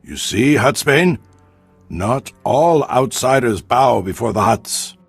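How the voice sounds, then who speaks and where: A grave alien warrior chief in a sci-fi game. His speech is slow and formal, with a rough husk, proud and reasoning. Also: medium pitch, expressive, soft background music, full character voice. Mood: earnest. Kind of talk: video game voice acting.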